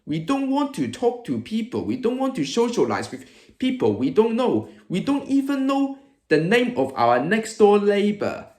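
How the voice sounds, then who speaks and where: Animated young Hong Kong man, higher-pitched voice. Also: moderate pace, roomy sound. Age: early 20s. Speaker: YouTube host, comedy presenter. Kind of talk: comedic monologue to camera.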